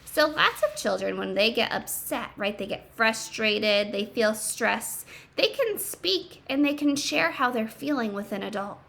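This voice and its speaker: A woman reading a picture book aloud to children in an expressive, emphatic way, pausing to explain and ask questions. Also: fairly high pitch, gravelly.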